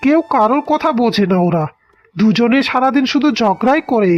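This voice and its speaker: A woman's voice: fairly high pitch, expressive, normal speed, lightly acted. A woman voicing a harried, plaintive village husband in a cartoon story. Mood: stressed, complaining. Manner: plaintive, complaining. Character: harried, worn-out village husband drowning in debt. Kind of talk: Bengali cartoon story acting. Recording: dull, lo-fi sound under music.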